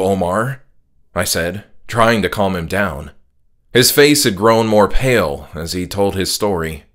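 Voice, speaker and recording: A man's voice: low-pitched, fairly bright tone. A man who is an author reading his own horror story as dramatic first-person audiobook narration.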